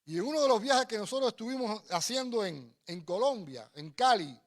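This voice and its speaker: A man's voice: sits high, expressive, brisk. A man preaching and telling personal stories in an emphatic, exhorting way, repeating phrases. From Cuba. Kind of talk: sermon with personal storytelling.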